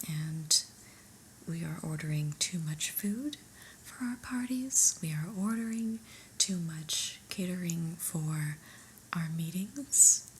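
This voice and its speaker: A woman whispering an ASMR roleplay as an unimpressed new office boss, deliberate, mildly stern and deadpan, in a breathy voice. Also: lowish voice, slight background noise, low-quality recording.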